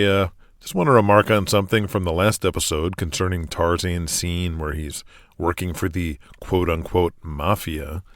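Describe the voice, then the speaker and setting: A man's voice: low-pitched, dry recording, clean studio sound. A man hosting an audio drama podcast, reading a scripted introduction with tongue-in-cheek asides.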